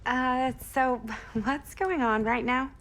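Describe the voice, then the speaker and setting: A woman's voice: high voice, slow pace, a bit bright, exaggerated voice. An actress playing a wry, plain-spoken, curious young woman in a video game cinematic, with a casual, slightly hesitant delivery. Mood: puzzled.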